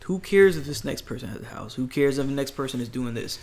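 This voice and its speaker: A man's voice: medium pitch, expressive, fast, vocal fry, a crowd in the background. A man, giving blunt advice in a casual chat, emphatic and rambling with restarts. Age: 20s.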